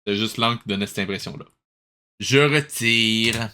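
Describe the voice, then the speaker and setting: A man's voice: lowish voice, a little slow. A man doing casual, amused reaction commentary, rambling and often interrupting himself. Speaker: host reviewing an old cartoon.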